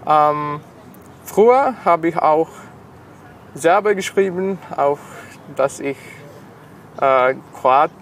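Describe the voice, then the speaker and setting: A man of twenty-five, a native Serbian speaker with a Serbian accent, answers interview questions haltingly, searching for words in a second language. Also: on the high side, a little hiss or hum. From Sombor, Serbia. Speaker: interview guest, engineering student.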